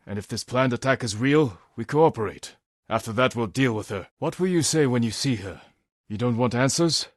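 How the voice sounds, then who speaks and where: Serious samurai game hero, guarded n earnest, slightly rough low voice, very dry close sound. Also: male voice, no echo. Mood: firm, wary. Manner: measured, terse, earnest. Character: stoic young samurai warrior, honorable, grave, guarded. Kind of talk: video game voice acting.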